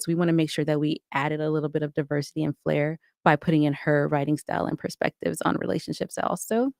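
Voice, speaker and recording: A woman's voice: sits low, gravelly, no echo. Articulate woman with a close, dry sound. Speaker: podcast guest, audio drama co-creator and writer. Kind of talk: conversational interview answers.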